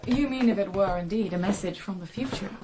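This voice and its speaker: A woman's voice: mid-range voice, fairly quick, noisy background, natural voice. An actress playing an earnest, skeptical woman in a radio drama, composed and probing in a formal manner. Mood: quiet, questioning. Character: earnest, skeptical woman visitor questioning an old writer.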